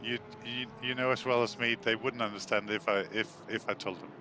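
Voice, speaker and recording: A man's voice: fairly low pitch, fairly even intonation, fairly quick, background noise. Danish man, hesitant commentator. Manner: hesitant, many fillers and restarts. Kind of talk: live sim racing commentary.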